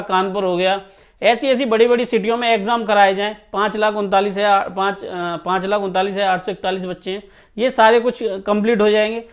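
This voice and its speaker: A man's voice: sits high, clean tone. A man speaking informally to students as a coaching teacher, emphatic and often checking that they follow. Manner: emphatic, repeatedly checks listeners understand. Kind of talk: informal exam news talk to students.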